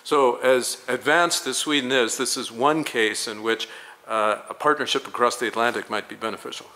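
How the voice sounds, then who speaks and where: Polished male interviewer, bright voice. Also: mid-range voice. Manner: polished, leading questions, measured delivery. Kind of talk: promotional interview.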